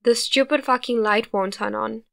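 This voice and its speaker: A woman voicing a gruff, short-tempered teenage boy who barks and swears loudly. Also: mid-range voice, steady tone, moderate pace, lightly acted. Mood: irritated. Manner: loud, barking, swearing.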